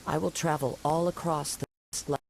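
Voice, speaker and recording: A man voicing a calm, free-spirited young wandering samurai in an anime video game, speaking in measured, formal, poetic phrases. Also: a little high, gravelly, a little hiss or hum, poor audio. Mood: solemn.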